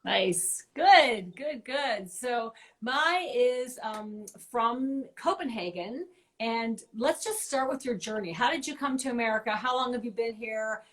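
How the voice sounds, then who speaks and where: A woman's voice: on the high side, animated, measured pace, a bit bright. A woman hosting a live-streamed interview show, welcoming her guest in an upbeat, casual way. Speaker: show host. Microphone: live video stream from home.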